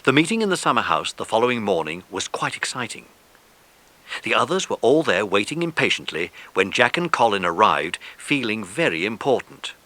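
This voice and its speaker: Male narrator, scripted children's audiobook, animated, crisp bright tone. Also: on the low side, moderate pace.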